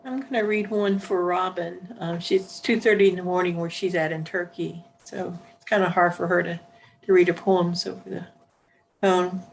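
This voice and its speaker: A woman's voice: fairly low pitch, fairly even intonation, normal speed, creaky, a little hiss or hum, low-quality recording. A woman informally introducing a poetry reading. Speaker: poetry reader.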